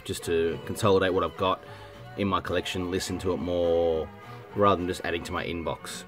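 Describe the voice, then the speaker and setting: An Australian man with a blocked, cold-stuffed voice, chatting casually and rambling, often stopping to clear his throat and correct himself. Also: low-pitched. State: congested with a head cold. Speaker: vinyl collecting vlogger. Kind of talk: casual rambling chat to camera. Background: music playing softly.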